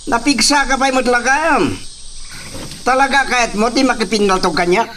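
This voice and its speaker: A man's voice: very high voice, moderate pace, recorded from a distance, a little hiss or hum. A man playing an arrogant, cruel young master in an audio drama, taunting and barking orders. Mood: menacing, taunting. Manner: taunting, domineering, shouted commands. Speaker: drama actor. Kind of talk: Ilocano radio-style drama acting.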